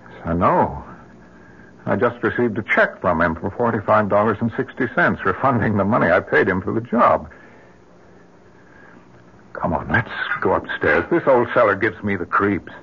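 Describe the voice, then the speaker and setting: A low-voiced middle-aged American husband and father, grieving and strained, in a vintage radio drama. His halting delivery swings widely in pitch, and the old recording is muffled. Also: expressive, creaky, slightly rough, heavily acted. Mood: uneasy, incredulous.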